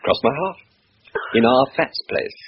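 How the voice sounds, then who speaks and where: Actor as an animated English husband, genteel radio drama. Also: male voice, mid-range voice, measured pace, close to an everyday voice. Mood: affectionate. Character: determined, cheerfully reassuring English husband, former Hong Kong expatriate. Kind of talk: old-time radio drama acting. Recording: muffled, hissy old radio recording.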